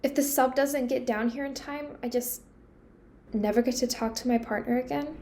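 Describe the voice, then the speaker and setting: Woman acting worried crew girl on a sub, croaky fry in her voice, earnest, audio drama. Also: high-pitched, fairly even intonation, normal speed, mellow. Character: earnest young submarine crew member, anxious, pleading.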